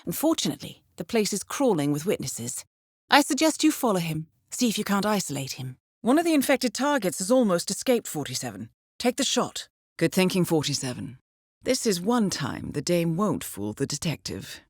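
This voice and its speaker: Female mission handler, lowish voice, lively intonation, composed, formal, drily ironic, video game briefing. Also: moderate pace, dry recording, studio quality. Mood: composed, businesslike. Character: composed, dryly witty female intelligence handler to an assassin.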